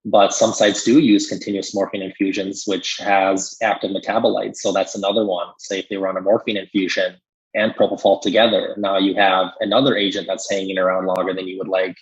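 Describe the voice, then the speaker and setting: A man explaining medical topics conversationally as an expert, in a measured way with frequent "you know" asides. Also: low-pitched, level tone, husky, lo-fi sound.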